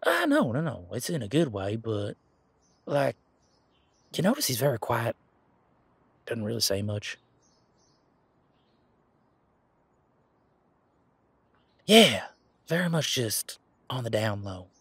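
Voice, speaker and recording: A man playing an easygoing, protective werewolf bodyguard in a roleplay audio drama, chatting in casual, halting, folksy phrases. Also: mid-range voice, very animated, gravelly, a bit bright, husky, no echo, exaggerated voice. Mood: casual, musing. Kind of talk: roleplay audio drama, conversational monologue to listener.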